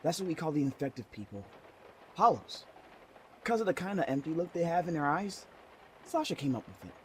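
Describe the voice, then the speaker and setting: A woman playing a youthful, nervous, friendly kid survivor in an audio drama, chatty, excitable and prone to stammering. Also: low voice, fairly quick, a little hiss or hum. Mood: explaining. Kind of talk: fan audio drama acting.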